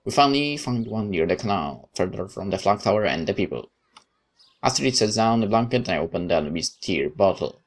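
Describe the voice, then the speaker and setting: A man's voice: lowish voice, animated, fairly quick. A man reading a visual novel aloud as narration, dropping in casual asides of his own.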